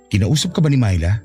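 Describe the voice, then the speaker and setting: A man's voice: on the low side, darkish tone. A man playing an insistent, wounded suitor in a radio drama, earnest and questioning. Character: insistent, wounded male suitor, separated father.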